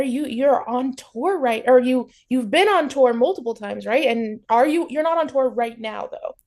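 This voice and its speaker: A woman's voice: high-pitched, a little mellow. A woman hosting an interview in a casual, effusive and encouraging way. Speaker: interview host. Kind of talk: casual chat.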